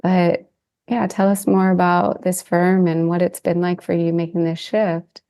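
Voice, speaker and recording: A soft-voiced woman hosting a podcast, asking questions and relating them to her own experience. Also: fairly low pitch, monotone, a little slow, no room sound. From California. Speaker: podcast host. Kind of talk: conversational interview.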